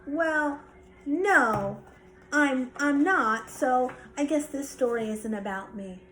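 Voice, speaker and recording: Woman voicing a high, fussy little girl. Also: expressive, slow, fairly bright tone, a little hiss or hum, heavily acted, poor audio. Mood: indignant. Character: little sister, a fussy, chatty young girl critter. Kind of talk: children's storybook character voice.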